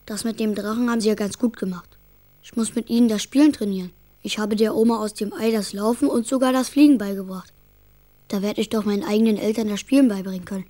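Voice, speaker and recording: A woman's voice: mid-range voice, fairly even intonation, fast talker, mellow, natural voice. A woman playing an eager, earnest and slightly bossy young schoolboy in a children's radio drama, speaking in a direct, childlike way. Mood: determined.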